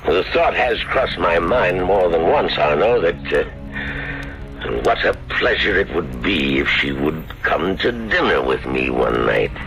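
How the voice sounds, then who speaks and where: A man's voice: low-pitched, expressive, slow, creaky, fairly bright tone, soft background music, full character voice. An actor playing a sly, lecherous older creditor in a radio drama, wheedling and drawing out his insinuations. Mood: leering, suggestive. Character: sly, lecherous, domineering older male wine-loving creditor. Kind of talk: 1970s radio drama acting. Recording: dull vintage radio recording.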